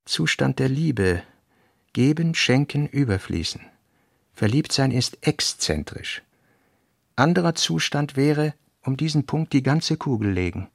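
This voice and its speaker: A man reading literary notes for a radio play in a measured, sober manner. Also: medium pitch, lively intonation, gravelly, no echo, studio quality.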